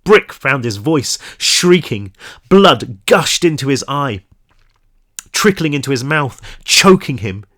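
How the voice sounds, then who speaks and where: A man's voice: medium pitch, very animated, pushed and bright. Man reading horror with a stuffy nose. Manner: slow deliberate reading, hesitant fillers between passages. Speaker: author reading his own novel aloud. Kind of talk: dramatic horror book reading.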